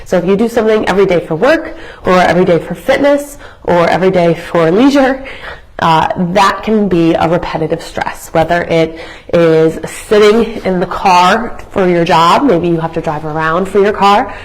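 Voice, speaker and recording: A young woman with a raspy voice, hoarse as she recovers from being sick. She leads a live workout class as a fitness instructor, explaining things in a chatty, educational way. She often restarts her sentences and uses fillers as she talks. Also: on the low side, normal speed, rough recording. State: hoarse from illness.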